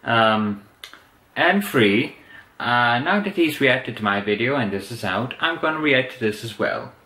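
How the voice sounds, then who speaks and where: A man's voice: medium pitch, expressive, unhurried, sharp tone. A young man chatting casually as a reaction video host in a small room. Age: young adult. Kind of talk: casual chat, reaction commentary. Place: small indoor bedroom. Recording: echoey room.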